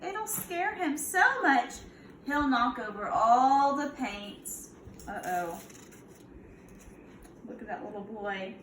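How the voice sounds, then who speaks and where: High-voiced woman reading to young children. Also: animated, slow, pauses often, a bit bright. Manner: instructive, prompting listeners with questions. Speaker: kindergarten teacher. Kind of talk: picture-book read-aloud for young children. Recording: echoey room, clear background noise.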